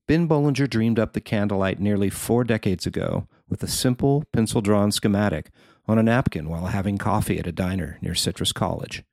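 A man's voice: lowish voice, fairly quick, vocal fry, darkish tone, dry recording, studio quality. A man reading his own written feature article aloud as scripted narration.

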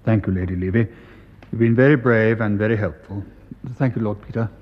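Actor as a grave, formal English official doctor. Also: male voice, lowish voice, lo-fi sound, natural voice. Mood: grave, gentle. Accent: educated English. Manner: measured, polite, officious. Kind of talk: radio drama acting.

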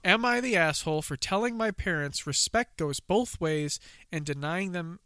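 A man with a bright, ringing, clear voice, chatting casually as a podcast co-host. He talks loosely and trips over words when reading aloud. The sound is close and dry. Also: on the high side, lively intonation, moderate pace, dry recording. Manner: stumbling over words while reading, laughing.

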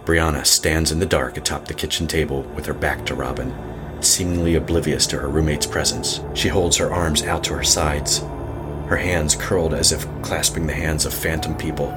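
A man narrating a scripted horror story in a measured, suspenseful manner. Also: very deep voice.

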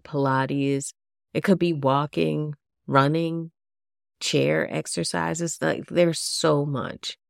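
Congested, nasal woman host, low and gravelly. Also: no echo, studio quality. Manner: casual, chatty, asks listeners many questions. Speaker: podcast host. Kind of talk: solo podcast talk to yoga teachers.

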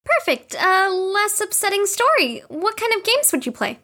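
A woman's voice: very high-pitched, big pitch swings, moderate pace, bright, forceful tone, exaggerated voice. A woman playing a prim, inquisitive overseer in an audio drama. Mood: sweetly curious.

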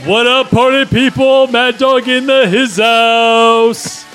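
A man's voice: extremely high pitch, fairly even intonation, slow pace, fairly bright tone, soft background music, pitched above the performer's own voice, exaggerated voice. A man reading the part of a cocky, self-important slacker skateboarder at an amateur comedy table read. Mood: swaggering.

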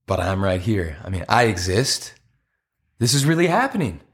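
A man's voice: sits low, big pitch swings, moderate pace, fairly bright tone, slightly rough, exaggerated voice. An actor playing an earnest, hopeful, slightly awkward man looking for love in a comedic audio play, speaking politely and hesitantly but persistently. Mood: insistent, hopeful.

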